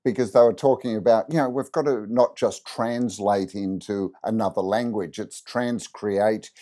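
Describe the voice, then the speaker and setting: Mellow-voiced Australian-raised podcast host. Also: male voice, fairly low pitch, normal speed. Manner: conversational, leading questions with tag questions. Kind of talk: interview podcast hosting.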